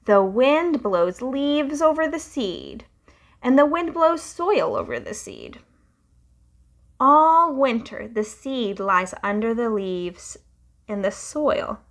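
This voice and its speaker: Young woman reading picture books aloud for kids, sing-song and unhurried. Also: on the high side. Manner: slow, lively, sing-song storytelling. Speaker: children's storytime reader.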